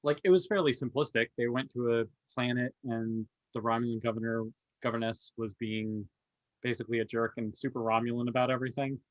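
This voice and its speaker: A man's voice: medium pitch, fairly even intonation, a little fast, no room sound. A man chatting casually as a podcast panelist, on a slightly dull recording. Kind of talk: casual chat.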